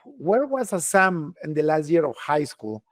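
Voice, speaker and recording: A man's voice: fairly high pitch, sing-song, measured pace, no echo. A man from North America hosting an interview podcast, friendly and curious, with frequent restarts. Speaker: podcast host. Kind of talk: casual interview chat.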